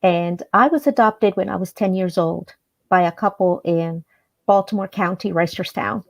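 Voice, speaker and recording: A woman from El Salvador, a guest on a radio interview, telling her life story in an earnest, measured way with some hesitations. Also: lowish voice, creaky.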